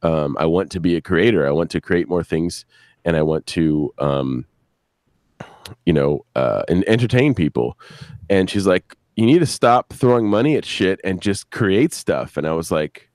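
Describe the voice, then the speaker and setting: A middle-aged man with a very deep voice, recorded dry. He rambles through casual stories as a podcast guest, stopping and starting and cursing freely. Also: lively intonation, darkish tone, no echo. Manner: rambling, halting, crude swearing. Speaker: podcast creator, interview guest. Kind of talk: casual live-stream chat, storytelling. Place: video live stream with a banner behind.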